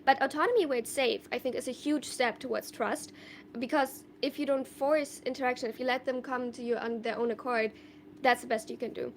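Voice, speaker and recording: A young woman from Germany with a high, light voice talks on a live stream. She answers viewer questions in a loose, thoughtful ramble full of fillers and restarts. Faint room ambience sits underneath. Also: steady tone, brisk, a little hiss or hum. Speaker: livestreamer.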